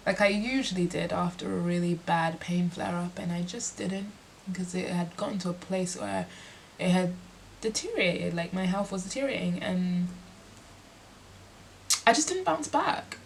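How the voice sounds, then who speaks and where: A young woman speaking candidly in a personal vlog, congested and sniffly, reflective and filling pauses with lots of "like". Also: on the low side, vocal fry, fairly bright tone, slight background noise. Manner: reflective, heavy use of "like" fillers. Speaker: musician and performer.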